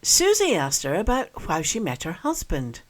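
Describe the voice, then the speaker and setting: A woman hosting a radio talk show, asking quick questions and reading links. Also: fairly low pitch, huge pitch swings.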